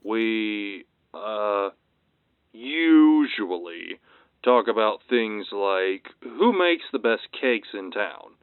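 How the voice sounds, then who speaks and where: An actor playing a confused, deadpan male call-in listener who speaks hesitantly over a filtered phone line. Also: on the low side, very slow, clear, resonant tone, no echo, exaggerated voice. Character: slow, baffled male radio call-in listener. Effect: telephone filter. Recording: muffled, dull telephone line.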